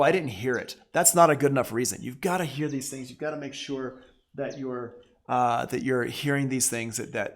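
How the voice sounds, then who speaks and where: A man with a medium-pitched voice teaches audio production in a casual live tutorial. He talks conversationally, explaining as he works and restating his main points for emphasis. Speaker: music production instructor.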